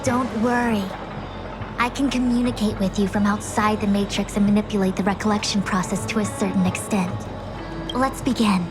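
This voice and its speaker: A woman's voice: medium pitch, fairly even intonation, normal speed, music in the background, close to an everyday voice. A woman voicing a composed, authoritative female diviner in a video game, speaking formally and precisely. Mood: cautioning, calm.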